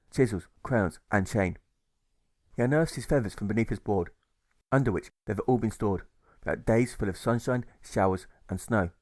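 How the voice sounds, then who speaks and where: A man narrates a rhyming tale in a middle-pitched voice. The delivery is rhythmic and measured by the verse, like a storybook reading. The sound is close and dry, with no room around it. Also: darkish tone, no echo. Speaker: author reading own audiobook.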